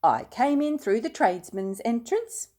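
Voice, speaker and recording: Woman voicing an excitable, polite little storybook bear, lifted pitch. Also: a little slow. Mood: matter-of-fact. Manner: earnest, polite, exclaiming. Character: excitable, polite, alarmed young male bear.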